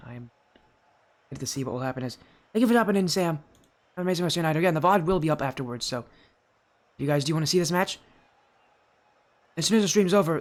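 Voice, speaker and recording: A teenage boy with a high-pitched, youthful voice, chatting with his live stream audience and casting a video game match, loud and excited on goals. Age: teens. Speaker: esports caster. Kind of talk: live stream chat and play-by-play commentary.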